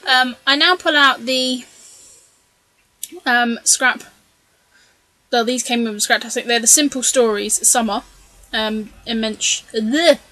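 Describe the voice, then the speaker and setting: A woman's voice: on the high side, takes it slowly, pauses often, bright, forceful tone, rough recording. A woman narrating her scrapbooking process in a chatty, rambling way, full of ums and asides, her voice a little strained. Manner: chatty, rambling, many ums and self-corrections. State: slightly losing her voice. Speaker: scrapbooking video creator. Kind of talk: casual voice-over narration of a craft process.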